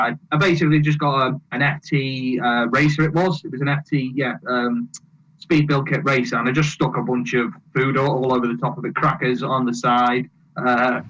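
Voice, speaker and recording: A man's voice: mid-range voice, fairly bright tone, rough recording. A man with a mixed Welsh and Scouse accent, a guest chatting casually on a podcast and laughing at himself. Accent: Welsh and Scouse mix. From England, between Liverpool and Manchester. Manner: chatty, self-deprecating, laughs often. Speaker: guest, model aircraft video maker.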